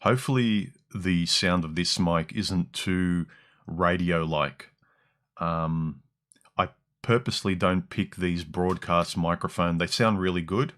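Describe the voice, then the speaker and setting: A man with an Australian accent hosting a casual livestream into a dynamic mic, with a deep, slightly harsh voice and rambling, restart-filled speech. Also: slow pace, a bit bright. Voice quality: deep voice with some harshness in the mids and lows. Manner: rambling, with frequent ums and restarts. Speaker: livestream host. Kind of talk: casual livestream chat reading comments. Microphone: dynamic broadcast mic.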